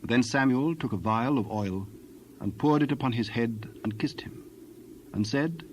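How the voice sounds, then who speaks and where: Male narrator, scripted scripture storytelling, formal, measured, mid pitch, background noise. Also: muffled recording.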